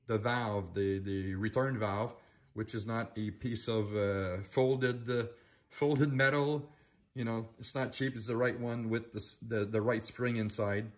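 A man in his fifties hosting a casual how-to shop vlog, talking in a rambling way with many restarts and fillers, filmed on a handheld phone camera in a garage workshop. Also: mid-range voice. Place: indoor garage workshop with ATVs.

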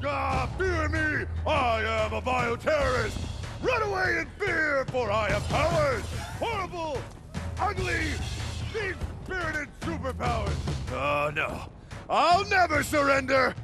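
Shrieking, hammy fake villain. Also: male voice, very high-pitched, very animated, slow pace, exaggerated voice. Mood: mock menacing. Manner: shouted, melodramatic. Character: panicky policeman hamming it up as villainous bioterrorist. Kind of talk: video game voice acting. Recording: loud game music behind.

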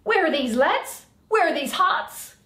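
A woman's voice: high voice, lively intonation, unhurried, pushed and bright, voice pushed up, exaggerated voice. A woman performing a boisterous, self-important male tradesman in a Shakespeare comedy, declaiming grandly and emphatically. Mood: boisterous, commanding. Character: boisterous, self-important Athenian tradesman amateur actor, Bottom. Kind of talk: Shakespeare read aloud as solo performance. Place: small room at home, indoors. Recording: roomy home recording.

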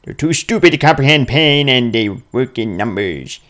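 A man in his twenties doing casual, excitable gameplay commentary full of exclamations and mild crude language. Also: medium pitch, normal speed. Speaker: gaming video host.

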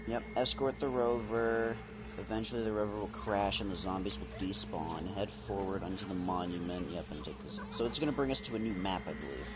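Low-voiced man guiding gamers, very noisy. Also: a little fast. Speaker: game streamer guiding teammates. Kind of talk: casual gaming chat. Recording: loud game noise over voice.

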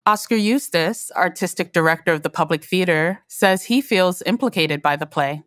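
Female narrator for arts program note; lowish voice, scripted delivery, very dry acoustic. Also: normal speed, no room sound.

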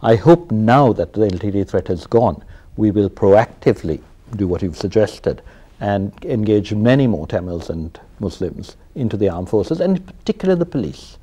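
Sri male host, expressive pitch, mellow tone; long studio questions. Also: normal speed, slightly rough. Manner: animated, questioning, with hesitant fillers. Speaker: interview host. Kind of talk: formal studio interview. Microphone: lapel.

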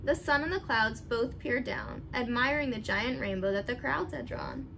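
A young woman reading a picture book aloud expressively, with animated, deliberate emphasis for young listeners. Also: a little high. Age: young adult. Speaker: storybook reader. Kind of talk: expressive children's read-aloud. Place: living room at home. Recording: clearly noisy home recording.

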